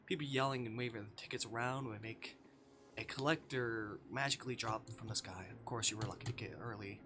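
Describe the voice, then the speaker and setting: A man's voice: medium pitch, fairly even intonation, brisk. A man livestreaming, reading a visual novel aloud and dropping into casual, sweary asides.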